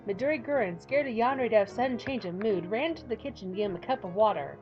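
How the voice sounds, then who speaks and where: A playful woman reading a game story aloud and chatting between passages, her voice fairly high and very lively. She jokes at her own expense. The recording is slightly muffled, with faint music running beneath. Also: quick pace. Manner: playful, giggly, self-mocking. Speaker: YouTuber. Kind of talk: casual game commentary, reading a visual novel aloud.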